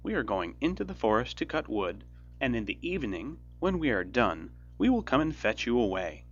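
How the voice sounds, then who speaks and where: A harsh storybook mother, acted out by a man, scolding and commanding with sharp emphasis. The voice swings widely in pitch over music, in a slightly dull recording. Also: big pitch swings, normal speed. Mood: bossy. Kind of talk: fairy tale storybook reading.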